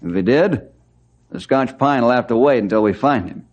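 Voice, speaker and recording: An actor playing a steady, firm head forest ranger in an old-time radio western. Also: male voice, mid-range voice, normal speed, lo-fi sound, close to an everyday voice. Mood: businesslike. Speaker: ranger leader. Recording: old radio drama recording.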